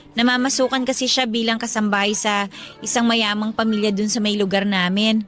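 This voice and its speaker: A woman's voice: sits high, a bit bright. A woman playing a friendly, teasing young working mother in conversational radio drama dialogue.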